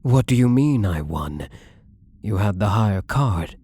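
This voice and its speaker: A man playing a wealthy gambler, possessive and lovestruck, adoring yet commanding, in an intimate, breathy ASMR romance roleplay that often drops toward a whisper. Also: on the low side, expressive, a little slow, dark tone. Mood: confused, realizing.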